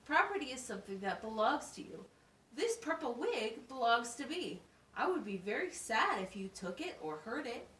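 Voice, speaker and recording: A woman playing a teacher in a scripted classroom puppet show, explaining things simply and deliberately. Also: on the high side, very animated, fairly bright tone. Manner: instructive, simple wording with deliberate enunciation. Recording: roomy, distant mic with faint noise.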